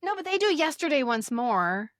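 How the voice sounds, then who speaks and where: Playful American woman, slightly high voice. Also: very animated, moderate pace, fairly bright tone, dry recording, studio quality. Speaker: podcast co-host. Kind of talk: casual banter.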